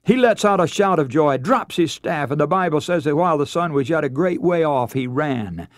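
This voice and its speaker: A man's voice: fairly high pitch, animated, normal speed, no room sound, studio quality. An American man preaching a radio sermon as a dramatic, emotive story, with theatrical delivery and rhetorical questions.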